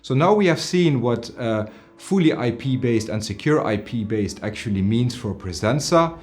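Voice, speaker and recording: A man's voice: mid-range voice, lively intonation, moderate pace, soft background music. A man in his forties giving a semi-scripted product presentation to camera in an indoor studio.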